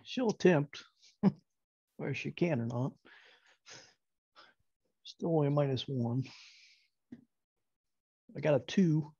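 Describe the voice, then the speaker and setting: A man's voice: a little high, lively intonation, slow pace, long pauses, warm tone, slightly rough, no echo. A man playing a tabletop game, chatting casually and calling out his rolls, on a dull lo-fi recording.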